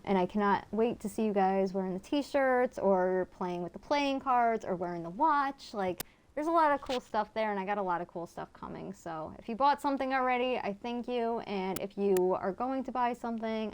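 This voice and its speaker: A woman's voice: mid-range voice, a little mellow. A woman with a Connecticut accent, chatting casually with her viewers as she streams a game and answers chat. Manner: chatty, reads and answers chat, many fillers. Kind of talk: casual live-stream chat while gaming.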